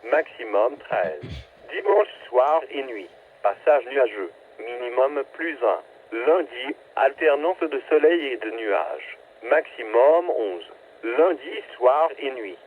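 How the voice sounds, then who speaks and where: A man's voice: medium pitch, expressive, takes it slowly, a little hiss or hum. A synthetic male voice reads scripted weather forecasts in clipped, impersonal phrases over a radio. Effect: radio transmission. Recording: narrowband, staticky weather radio broadcast.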